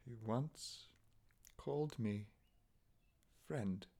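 An actor playing an anguished, obsessive husband in a melodramatic horror radio play, theatrical and pleading between murmurs and cries. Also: male voice, mid-range voice, extremely slow, mellow. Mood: grim devotion. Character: anguished, obsessive husband devoted to his cursed wife.

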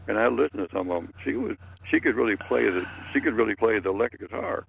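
A man's voice: lowish voice, animated, quick pace, gravelly, a little hiss or hum, low-quality recording. An older man chatting casually and telling stories in a rambling way, often repeating his words and phrases. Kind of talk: casual group chat, storytelling.

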